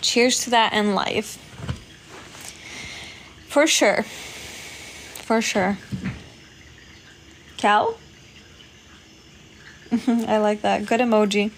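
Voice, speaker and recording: Woman livestream host from Poland; chatty and giggly, slow delivery, phone mic with faint background noise. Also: mid-range voice, steady tone. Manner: chatty, giggly, thanks viewers often. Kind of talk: casual livestream chat reading comments.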